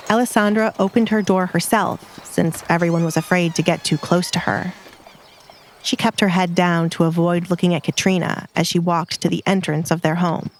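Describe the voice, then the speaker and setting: A woman reading scripted story narration as the narrator of an audio drama. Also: fairly low pitch.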